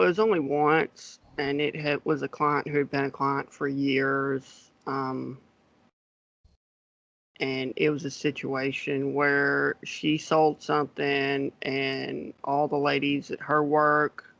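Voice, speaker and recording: A man being interviewed as an accountant, talking in a relaxed, hesitant way with many fillers. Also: a little high, slow pace, gravelly, highs cut off. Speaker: accountant guest. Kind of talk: casual podcast interview.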